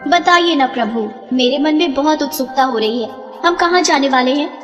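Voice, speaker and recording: A woman's voice: sits high, moderate pace, fairly bright tone, long reverb tail, muffled recording, faint music behind. A woman voicing a playful, teasing goddess and devoted wife in a devotional story, speaking sweetly and formally. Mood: curious, coaxing. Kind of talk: animated devotional story dialogue.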